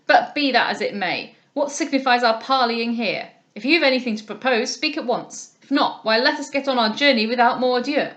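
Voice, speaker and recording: Lady doing a loud bossy sea captain, gruff and rough, blustering. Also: a little high, brisk, few pauses, natural voice. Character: blustering rough English sea captain, man, middle-aged.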